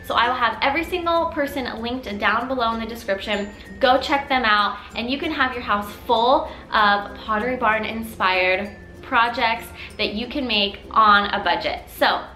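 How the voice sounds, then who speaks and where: Chatty young mom doing a diy tutorial, kinda scratchy throat, high-ish voice, faint music under it. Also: female voice, sharp tone, reverberant. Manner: upbeat, chatty, encouraging. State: slightly scratchy from a cold. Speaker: mom vlogger. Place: living room at home.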